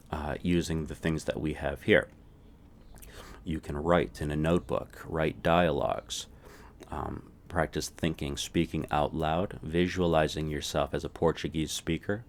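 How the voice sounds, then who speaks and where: A man teaching a recorded language lesson. His voice sits very low, and he walks through each point patiently, often checking in with "right?" as he goes.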